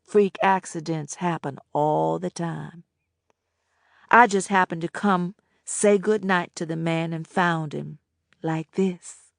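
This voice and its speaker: An unbothered young woman , voiced by a female audiobook narrator, speaking in a low, even, matter-of-fact way and taking her time. Her tone stays flat and casual. The recording is dry and close. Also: takes it slowly, creaky, no echo. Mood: calm. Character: cool, casual young woman, calmly unrepentant. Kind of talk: audiobook character voice.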